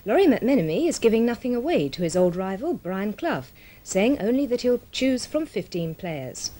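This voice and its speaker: A woman reads a scripted regional television news bulletin in a formal, measured manner. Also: a little high, very animated, warm tone. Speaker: television newsreader.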